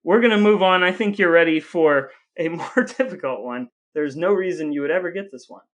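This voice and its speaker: A man's voice: fairly high pitch, fairly quick, fairly bright tone. A man hosting a casual podcast, joking and teasing as he plays quizmaster in loose conversational banter. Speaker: podcast host, playful quizmaster. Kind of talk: casual banter between brothers.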